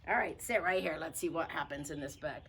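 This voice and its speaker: A woman's voice: sits low, brisk, creaky, very noisy. A woman reading a picture book aloud to children playfully, with folksy, chatty asides. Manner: folksy, informal grammar. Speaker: storybook reader for children.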